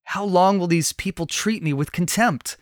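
A man voicing a solemn, aggrieved God passing judgment in grave, measured pronouncements, in a Bible story. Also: sits high, no room sound, studio quality, voice pushed up, close to an everyday voice. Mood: grave reproach.

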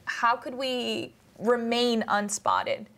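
A woman's voice: a little high, very animated, slow pace, a bit bright. A woman leading a conversational Bible study in an informal, questioning way. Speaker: discussion leader.

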